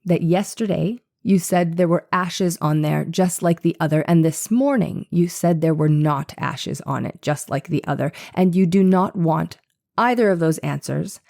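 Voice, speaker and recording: A woman's voice: on the low side, moderate pace, creaky, dark tone. A woman playing a persistent, sardonic male defense lawyer in a dramatized trial reading, questioning in a pressing, dryly sarcastic way. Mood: sardonic, needling. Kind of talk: dramatized courtroom transcript reading.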